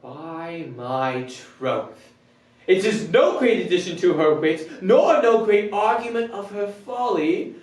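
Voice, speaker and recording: A man's voice: high-pitched, lively intonation, slow, a little mellow. A man performing a proud bachelor giddy at being loved, in a theatrical Shakespearean comic monologue, declamatory with abrupt swings between musing and exclaiming. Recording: roomy echo, distant microphone.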